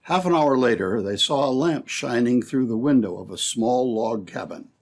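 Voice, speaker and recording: A man's voice: mid-range voice, animated, normal speed. A man narrating an old-time radio adventure from a script in storytelling style.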